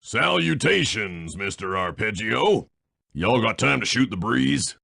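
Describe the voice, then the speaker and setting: An actor playing a folksy villainous train baron in a video game, with a gravelly voice and a cowboy drawl. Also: male voice, lowish voice, sing-song, measured pace, bright, forceful tone, full character voice. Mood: folksy, scheming. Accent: North American cowboy drawl. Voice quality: gravelly, rough.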